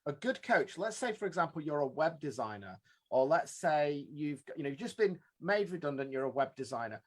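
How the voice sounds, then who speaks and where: A man hosting a podcast, talking in an earnest, quick way and cutting in with his own thoughts. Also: a little high, sing-song. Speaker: podcast host. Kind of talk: podcast interview.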